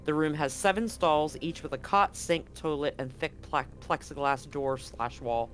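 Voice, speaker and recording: A man narrating as game master, describing scenes in a halting way with frequent ums and restarts. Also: on the high side, fairly bright tone, faint music behind.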